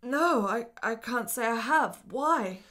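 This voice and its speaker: A woman's voice: on the high side, expressive, slow pace, a bit bright. An actress playing a frail, lovesick, melancholy woman in a period radio drama, speaking in earnest, melodramatic, formal phrases. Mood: dreamy.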